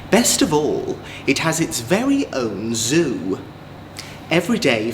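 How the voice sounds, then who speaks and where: Male drag storyteller for children's tales; camp, unhurried, highly expressive pitch, playful asides. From England. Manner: campy, playful, exaggerated, chatty asides to young listeners. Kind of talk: theatrical children's story reading. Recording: roomy echo, faint background noise.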